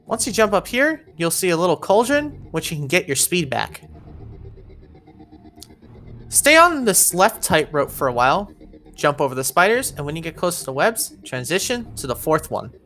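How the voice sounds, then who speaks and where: A woman's voice: on the low side, sing-song, moderate pace, fairly bright tone. A woman narrating an instructional video game walkthrough, giving encouraging step-by-step directions.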